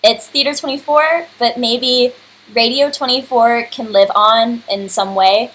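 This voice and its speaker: Female host, early 20s, higher-pitched, casual and effusive, slight background noise. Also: steady tone, measured pace, a bit bright. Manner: effusive, informal. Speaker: host and curator. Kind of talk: casual unscripted livestream address. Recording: clearly noisy home computer livestream.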